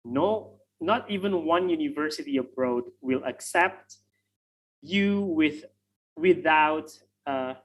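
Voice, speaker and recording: Slow, hesitant Indonesian-accented man. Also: a little high, expressive, low-quality recording. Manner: hesitant, many fillers and restarts. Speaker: guest speaker on English tests. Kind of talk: online webinar talk. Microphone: video call.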